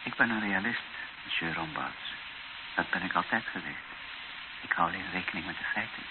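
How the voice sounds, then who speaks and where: A man playing grave missionary bishop, low male voice, solemn, fatalistic, radio play, tinny hissy old recording. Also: lightly acted. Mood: grave resignation. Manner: solemn, measured, matter-of-fact. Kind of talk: radio drama acting.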